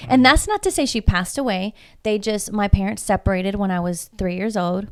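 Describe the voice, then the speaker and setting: A woman's voice: medium pitch, moderate pace, clear, resonant tone. A woman from Houston, Texas, whose first language is Spanish, talking as a podcast guest, emphatic and reflective and full of rhetorical questions. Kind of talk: casual podcast conversation.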